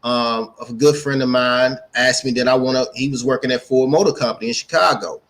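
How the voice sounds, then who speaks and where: A man's voice: medium pitch, fairly even intonation, fairly quick, a bit bright, a little hiss or hum. American man in his late forties, chatting casually. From Gary, Indiana, United States. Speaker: guest, entrepreneur and speaker. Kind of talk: casual interview storytelling.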